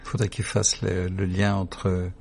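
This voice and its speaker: A man's voice: low voice, completely flat delivery, slow pace, vocal fry, darkish tone, slight background noise. A man hosting a radio interview, friendly and joking, with short encouraging replies. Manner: friendly, jokey, short back-channel replies. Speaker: radio host.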